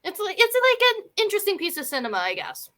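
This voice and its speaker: Female panelist, bright and animated, relaxed conversational film chat. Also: very high-pitched, fast talker. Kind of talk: casual film discussion.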